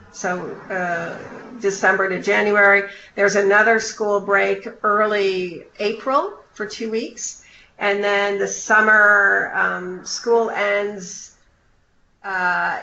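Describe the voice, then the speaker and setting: A woman giving an informational briefing on a conference call, in a measured, explanatory way with hesitant pauses. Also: on the low side, sharp tone. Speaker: program official briefing new volunteers. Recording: roomy, dull conference-call line with faint noise.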